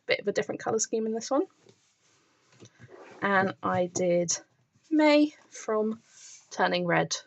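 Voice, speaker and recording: A woman's voice: mid-range voice, vocal fry. A woman hosting a colouring channel, chatting enthusiastically through her finished pages while filming the books from above at a table, her voice starting to crack. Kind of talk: casual show-and-tell narration.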